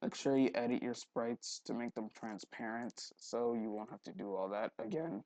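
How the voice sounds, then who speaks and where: A young man giving a casual software tutorial, thinking aloud as he rambles along. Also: medium pitch, normal speed, dry recording. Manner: rambling, thinking aloud, frequent throat clearing. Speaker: tutorial host. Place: indoors at a computer, voice-over for screen recording.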